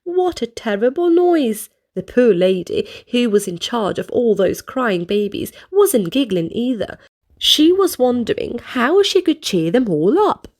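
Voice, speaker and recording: A high-voiced woman reads a storybook aloud to young children. She takes her time, with big melodic swings and direct questions and exclamations for the listeners. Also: very animated, a little slow, a little mellow. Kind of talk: expressive read-aloud narration for children.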